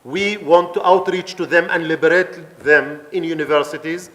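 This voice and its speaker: A man's voice: on the high side, steady tone, normal speed, fairly bright tone. A man from the Palestinian community inside Israel, giving a passionate conference talk with fillers and restarts. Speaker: guest speaker at a conference. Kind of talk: public talk with questions and answers. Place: event hall in Italy.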